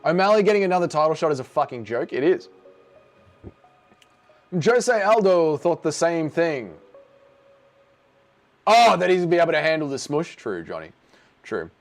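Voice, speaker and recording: A man's voice: a little high, sing-song, moderate pace, pauses often, faint music behind. A middle-aged man streaming live, reading and answering chat in a brash, sarcastic way and swearing freely. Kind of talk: casual livestream chat.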